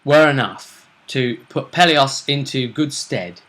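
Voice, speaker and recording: A man's voice: medium pitch, animated, takes it slowly, a bit bright. A man hosting a role-play vidcast, chatting casually through a game recap in a jokey, sweary way.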